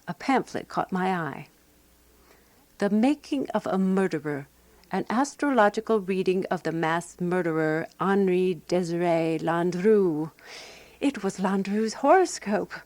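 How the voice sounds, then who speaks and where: A woman playing a fussy, resolute amateur sleuth who narrates her own mystery in a radio drama, prim and measured. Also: fairly low pitch, darkish tone, no echo.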